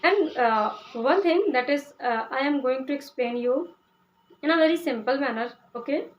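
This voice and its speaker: High-voiced Indian woman, hesitant talk. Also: normal speed, dull audio, a little hiss or hum. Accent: Indian (Hindi speaker). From India (Uttar Pradesh). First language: Hindi. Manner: hesitant, many "uh" fillers and restarts, asks "okay?". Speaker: English-learning vlogger. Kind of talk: casual explanatory talk to camera.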